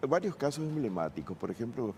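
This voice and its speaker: A man speaking as a forensic psychiatrist on a TV panel, explaining cases in a measured, factual way. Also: mid-range voice, sing-song, darkish tone, slight background noise. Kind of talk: studio panel discussion.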